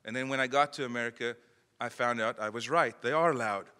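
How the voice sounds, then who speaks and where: A man's voice: mid-range voice, a little fast, pushed and bright, clear, resonant tone. South African man speaking in a hall. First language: not English. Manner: conversational, self-deprecating, acts out phone calls. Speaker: keynote speaker. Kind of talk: motivational speech to an audience. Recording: echoey hall.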